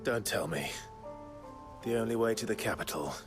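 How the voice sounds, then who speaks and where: An actor playing a brooding, battle-worn warrior in a video game, with a British accent and a gravelly, hushed delivery. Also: male voice, fairly low pitch, expressive, normal speed, lightly acted. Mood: resolute. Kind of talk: dramatic video game acting. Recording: studio voice under prominent cinematic music.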